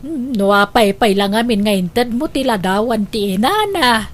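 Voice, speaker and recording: Lady playing a protective grandma in a radio drama, very high voice, asking questions. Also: expressive, moderate pace, natural voice. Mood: worried.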